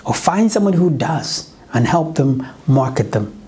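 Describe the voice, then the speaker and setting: A middle-aged man from Uganda, not a native English speaker, giving an earnest motivational pitch as a business coach, often restarting and correcting himself. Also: lively intonation, a little slow, a little mellow.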